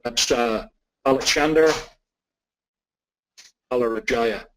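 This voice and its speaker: A man with an Irish accent answering questions as a technology expert, speaking in a deliberate, measured way with emphatic repetition. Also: mid-range voice, a little hiss or hum. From Ireland.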